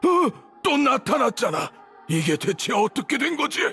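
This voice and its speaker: An actor playing an arrogant older grand sage villain in a game, with a pompous, commanding, indignant delivery. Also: male voice, extremely high pitch, very animated, measured pace, gravelly, sharp tone, breathy edge, soft background music, full character voice. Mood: flustered disbelief. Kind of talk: dramatic game voice acting.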